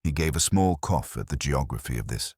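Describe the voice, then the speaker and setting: A man narrates a literary mystery in a very deep, slightly husky voice. His delivery is measured and wry, with lively movement in pitch and a close, dry sound. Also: no room sound. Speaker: audiobook-style narrator.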